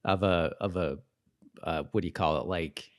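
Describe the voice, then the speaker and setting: A middle-aged man with a fairly low voice talks casually as a podcast co-host. He feels his way through his opinions in a halting manner, circling back to repeat and reword his phrases. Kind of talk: casual chat reviewing a TV episode.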